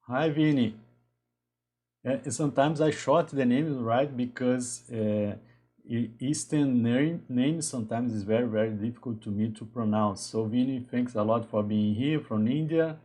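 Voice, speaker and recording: A man in his thirties from Brazil with a Brazilian Portuguese accent, talking casually on a live stream in a halting way with frequent restarts. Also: mid-range voice, mellow. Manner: halting, restarts often, greets viewers. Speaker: engineer hosting a live stream.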